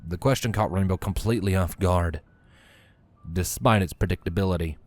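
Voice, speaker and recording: A man's voice: sits low, fairly quick, darkish tone. A man reading scripted fiction aloud as a narrator.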